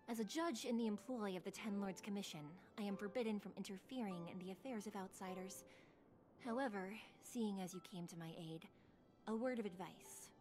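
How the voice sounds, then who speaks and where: A woman playing a stern, dutiful female judge in a video game, speaking in a formal, grave, measured way. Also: mid-range voice, darkish tone, lightly acted. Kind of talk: scripted video game voice acting.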